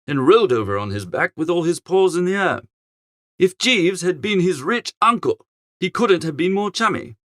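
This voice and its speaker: A man narrates a storybook as a flustered young gentleman of leisure. His voice sits high and swoops about with lively inflection. He sounds posh and chatty, given to grumbling exclamations. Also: no room sound, studio quality. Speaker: audiobook narrator. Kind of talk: animated first-person storybook narration in character.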